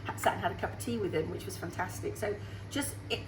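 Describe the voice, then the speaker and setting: A chatty, upbeat woman in her sixties answers interview questions about her singing career. Her voice sits at a middle pitch and carries a roomy echo. A faint hum runs behind her. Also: fast talker. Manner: chatty and upbeat, laughs easily. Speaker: singer guest.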